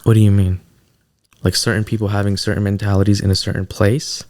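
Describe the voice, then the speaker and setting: Deep-voiced man from New York. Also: little pitch movement, a little fast, darkish tone. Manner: asks probing questions, teasing. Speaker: podcast co-host. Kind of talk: casual chat.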